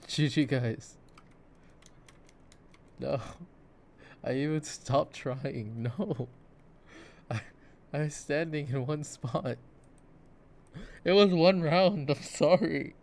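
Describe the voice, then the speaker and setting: A man playing a goofy, soft-spoken gamer boyfriend who rambles with playful drama in an ASMR roleplay, over mouse clicks. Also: a little high, slow, pauses often. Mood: amused, protesting. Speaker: ASMR voice actor. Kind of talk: improvised-sounding ASMR boyfriend roleplay while gaming. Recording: clear background noise, mouse clicks. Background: mouse clicks and game ambience.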